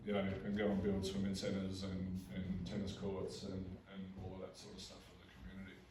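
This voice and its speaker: An Australian man with a booming voice presents at a community meeting, talking haltingly with many fillers and restarts. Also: monotone, a little mellow, slight background noise. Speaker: mining company representative. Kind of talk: community information session presentation. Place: public meeting hall. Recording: roomy hall recorded from a distance.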